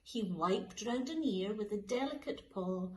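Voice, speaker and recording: Slow, lively teacher reading a picture book. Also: female voice, mid-range voice, a little hiss or hum. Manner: slow, lilting, expressive, dropping to whispers. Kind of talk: storybook read-aloud for children.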